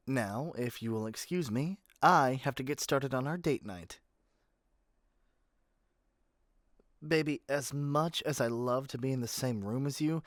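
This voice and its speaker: A man's voice: mid-range voice, no echo, studio quality, natural voice. Man acting a possessive, lovesick yandere captor. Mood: flustered, insistent. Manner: sweet pet names, controlling, confiding. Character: possessive, lovesick yandere kidnapper man, doting yet controlling. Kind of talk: ASMR roleplay, speaking intimately to the listener.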